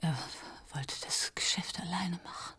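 An actress playing a dying, remorseful fashion model in a radio drama, confessing haltingly in a weak, breathy, tearful whisper. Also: female voice, fairly low pitch, sharp tone, heavily acted. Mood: remorseful, desperate. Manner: halting, faltering. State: dying, close to tears.

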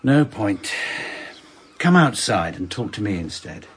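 A man with a British accent playing a charming, brisk husband in a radio drama. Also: lowish voice, big pitch swings, crowd noise. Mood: breezy. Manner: brisk, jolly. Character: breezy charming husband caught between two wives.

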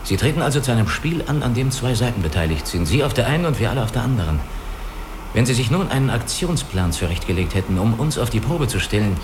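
An actor in a radio drama playing a guarded, analytical spaceship crewman who speaks deliberately and reasons step by step. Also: mid-range voice, sing-song, noisy background, lightly acted. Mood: probing, calculating. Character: guarded, analytical male spaceship crewman hiding his identity. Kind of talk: German radio play dialogue.

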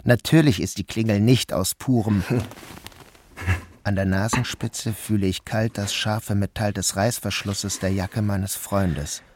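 A man giving a measured, subdued first-person narration of a novel. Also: lowish voice, steady tone, a little mellow, slight background noise.

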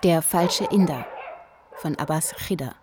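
A woman's voice: sits low, unhurried, crowd noise. A woman reading titles and credits as a scripted radio drama announcement.

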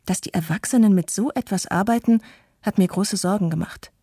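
Woman reading as a guilt-ridden woman reflecting in a radio play, mellow husky voice, measured, dry recording. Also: medium pitch, no room sound. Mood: reflective, regretful. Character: guilt-ridden adult woman confessing lifelong fear and inaction. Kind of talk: scripted radio documentary narration.

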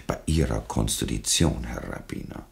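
A patronising Austrian bureaucrat in a radio play. The voice is extremely deep, full of gravelly creak, and slides expressively up and down. He is unctuous and officious, always insisting. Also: lively intonation, moderate pace, full character voice. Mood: cajoling. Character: smooth-talking, patronising male government official, insistent and oily. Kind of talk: German-language radio play dialogue.

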